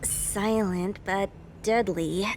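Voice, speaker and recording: A woman's voice: medium pitch, fairly even intonation, extremely slow, darkish tone, a little hiss or hum. A woman voicing a perky, teasing, bossy young adventurer in a video game. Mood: playful, confident.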